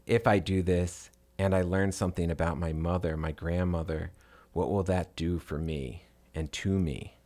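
A man with a low, deep voice discusses literature as a podcast co-host. He is reflective and somewhat halting as he works through his thoughts. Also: steady tone, a little mellow.

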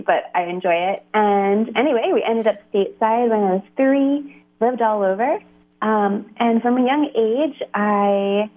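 A woman's voice comes through a thin, phone-like line. She is a religious sister sharing her story on a radio show. Her telling is chatty and upbeat, at an ordinary pitch for a woman.

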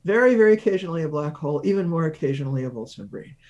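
A man giving a measured, deliberate explanation as an expert guest in a science interview, stressing key words. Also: on the high side, expressive, a little mellow, highs cut off. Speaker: guest research professor, physicist.

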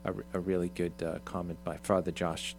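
A man hosting a talk show, summarizing an article in a halting way, with many ums and restarts. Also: low voice, little pitch movement, soft background music. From Irish, Swedish ancestry mentioned. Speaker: radio show host. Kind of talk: casual talk show discussion.

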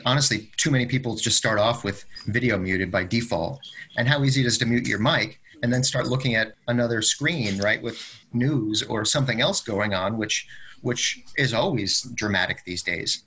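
A man with a husky voice that still comes across bright and forceful. He talks as a podcast co-host, casual and encouraging, offering advice in a conversational way at an average pitch. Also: moderate pace. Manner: encouraging, advisory, often checks with "right?". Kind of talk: casual workplace discussion.

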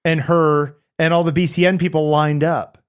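A man hosting a casual interview, chatty and quick to laugh, firing off eager follow-up questions. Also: fairly high pitch, little pitch movement, clear, resonant tone. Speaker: podcast host.

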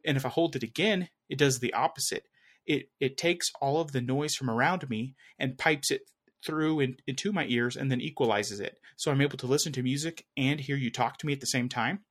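A young man talks through gadgets in a loose, meandering way, stopping and restarting his sentences. His voice sits at an ordinary middle pitch. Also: fairly quick. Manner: rambling, full of fillers and restarts. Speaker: podcast co-host. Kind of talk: casual tech chat.

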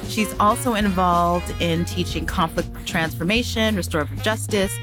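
A woman hosting a casual podcast interview from a small cafe, upbeat and excited, with many restarts. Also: on the low side, normal speed, over music. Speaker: podcast host.

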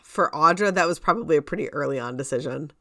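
Low-pitched woman chatting, very dry sound. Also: lively intonation, fast talker, pushed and bright, no room sound. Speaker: podcast co-host. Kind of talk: casual chat about musical theatre.

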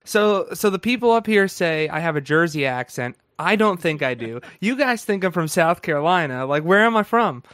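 A man's voice: fairly high pitch, animated, normal speed, hardly any pauses, a bit bright, no echo. A man from Pennsylvania, a guest on a podcast, chatting casually, stammering and restarting often.

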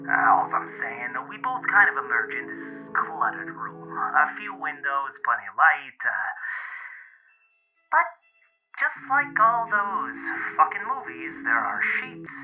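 An actor playing a rattled, skeptical boyfriend in an audio drama, rambling and swearing in slang with nervous hesitations. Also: male voice, on the high side, very animated, unhurried, over music, poor audio. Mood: agitated, spooked. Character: rattled, skeptical boyfriend ranting about a creepy rental house. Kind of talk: naturalistic found-footage audio drama monologue.

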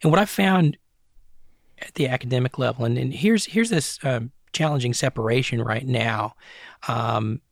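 A middle-aged man answering interview questions as an advertising strategist and academic, measured and often restarting phrases, tagging points with "right?". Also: mid-range voice, creaky, no echo, clean studio sound.

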